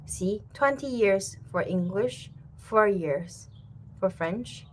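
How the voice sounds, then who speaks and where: A woman's voice: medium pitch, slow pace, slight background noise, low-quality recording. A woman in her thirties from Taiwan, a Mandarin speaker talking in English, chatting casually and laughing often as she hosts her own language channel. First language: Mandarin Chinese. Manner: relaxed and chatty, with many fillers and repeated words, laughing often. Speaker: language teacher and channel host. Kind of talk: casual chat to camera.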